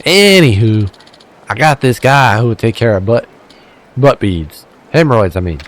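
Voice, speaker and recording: A man's voice: mid-range voice, animated, moderate pace, lightly acted. A man voicing a brash, wheeling-dealing male fixer with a shaky attempt at a Minnesotan accent, pushy and threatening on the phone. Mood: scheming.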